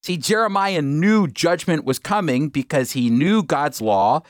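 A man's voice: a little high, expressive, a little slow, dry recording, studio quality. A man giving sermon-like Bible teaching in an emphatic, explanatory manner, repeating phrases for stress.

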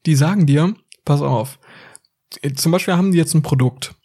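Man, podcast co-host, husky, fairly high voice, slangy, rambling. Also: normal speed, warm tone. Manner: loose slangy explaining, rambling. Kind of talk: casual podcast chat.

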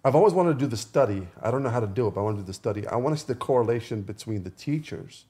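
A man chatting casually as a podcast guest, haltingly repeating words as he goes. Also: medium pitch, animated, dark tone.

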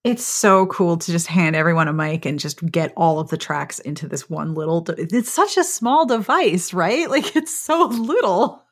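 A woman's voice: on the low side, animated, normal speed, hardly any pauses. Effusive woman hosting a chat. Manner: effusive, enthusiastic. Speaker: podcast host, interviewer. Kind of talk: casual chat.

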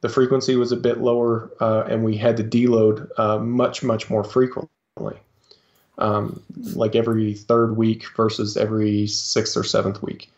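A man's voice: lowish voice, monotone, normal speed, a little mellow. A man speaking as a guest coach in a podcast interview, hesitant, with many fillers and restarts. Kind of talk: casual interview talk. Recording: slightly dull lo-fi sound.

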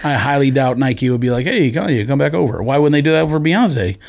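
A man's voice: medium pitch, expressive, fairly quick, vocal fry, a little mellow. A man co-hosting a podcast in casual debate, contrarian and insistent, challenging the others' claims. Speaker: podcast co-host. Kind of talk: casual sneaker-culture debate.

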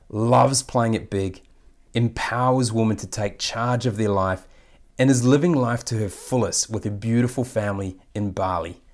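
A man's voice: on the low side, darkish tone. Man hosting, formal effusive intro. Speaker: podcast host. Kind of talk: scripted guest introduction and interview.

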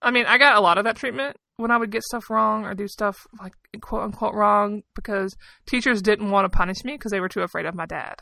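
A woman in her thirties with a bright, forward voice that often crackles into fry. She talks like a podcast co-host, candid and self-deprecating, in a casual storytelling flow. The recording is close and dry. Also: mid-range voice, brisk, few pauses, dry recording. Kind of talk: casual personal storytelling and chat.

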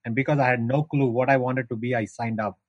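A man's voice: medium pitch, fairly even intonation, quick pace, no echo, highs cut off. A middle-aged man from India with an Indian accent, telling his career story at length as a guest in a podcast interview, with frequent restarts. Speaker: guest, founder of an insurance tech business.

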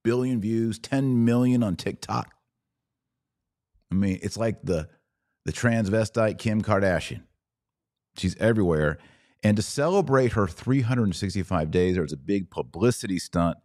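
A man's voice: low voice, a little mellow, dry recording, studio quality. A man with an American accent giving live podcast commentary in a conversational way, posing pointed rhetorical questions. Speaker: podcast host.

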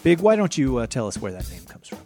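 A man's voice: mid-range voice, very animated, fast talker, dark tone. A man hosting a podcast, reading a scripted comic promo. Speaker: podcast host. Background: faint music.

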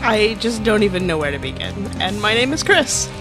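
A woman's voice: mid-range voice, sing-song, fairly quick, loud music behind the voice, rough recording. A woman co-hosting a podcast, chatting casually and reading lines in a playful, giggly way. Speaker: podcast co-host. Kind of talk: casual chat and comic fan fiction reading.

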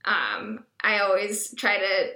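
A woman's voice: medium pitch, big pitch swings, takes it slowly, pushed and bright. A young woman from the Boston area, a veterinary student, chatting casually and easily on a podcast. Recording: roomy echo.

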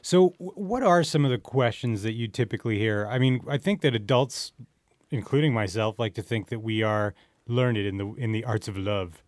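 A man's voice: medium pitch, normal speed, no room sound. Casual man hosting, dry close sound. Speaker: podcast co-host. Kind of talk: casual interview chat.

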